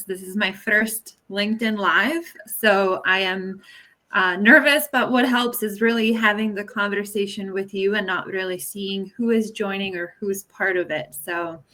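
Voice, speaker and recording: A woman's voice: medium pitch, pushed and bright. A woman from Czechia speaking earnestly on a video call as an interview guest, with hesitations and fillers. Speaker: guest, conflict resolution specialist. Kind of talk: interview answers. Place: home in Pennsylvania.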